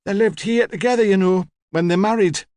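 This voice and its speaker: Man voicing a hoarse, high, imperious old woman. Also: brisk, darkish tone, no echo, natural voice. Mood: proud, probing. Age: older. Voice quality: hoarse, rasping. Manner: demanding, curt, imperious. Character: sharp, haughty, opinionated old woman, large and deaf.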